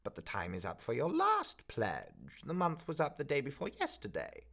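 A man playing a sharp, grasping old pawnbroker woman. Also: mid-range voice, wildly swooping pitch, moderate pace, vocal fry, pitched above the performer's own voice, heavily acted. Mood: curt, haggling. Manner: curt, haggling, condescending.

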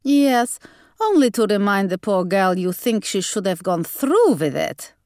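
A woman's voice: mid-range voice, sing-song, unhurried, darkish tone, no room sound, studio quality. A woman voicing an imperious, snappish old grandmother with a Hungarian accent in an audiobook. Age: older. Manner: snappish, opinionated.

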